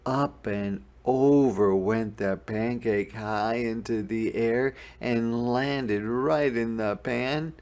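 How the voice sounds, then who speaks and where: A man narrating a children's picture book in a deliberate, expressive storytelling manner. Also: mid-range voice. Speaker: storybook reader. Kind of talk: scripted children's read-aloud narration.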